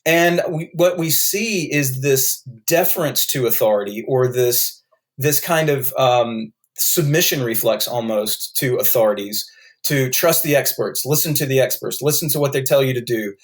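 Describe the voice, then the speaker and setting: A man's voice: medium pitch, moderate pace. A man with a North Carolina accent, a guest author explaining his ideas in a podcast interview, thoughtful and full of restarts.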